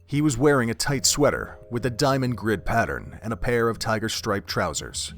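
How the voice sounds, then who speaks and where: A man's voice: medium pitch, normal speed, a bit bright, faint music behind. A man reading scripted story narration as the narrator of an audio drama.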